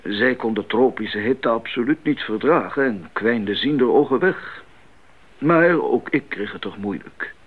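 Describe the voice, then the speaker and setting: Casting: older male missionary doctor, genial mentor, animated intonation, radio play, muffled recording. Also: medium pitch. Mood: genial, explanatory. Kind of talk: 1980s radio drama acting. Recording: muffled band-limited 1980s radio recording.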